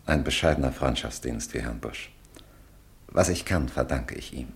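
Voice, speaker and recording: A courteous, formal landlord in an old radio play. His voice is extremely deep with a gravelly rasp. He speaks in a polite, soothing way. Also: normal speed. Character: courteous Parisian landlord, older man. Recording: old mono radio drama.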